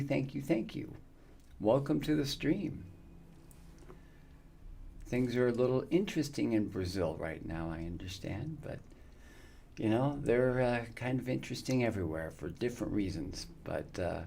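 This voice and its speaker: A man in his fifties, chatting casually and warmly with viewers on his live gaming stream, rambling with many fillers. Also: medium pitch, animated, measured pace, creaky, long pauses, a little hiss or hum. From United States. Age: 50s.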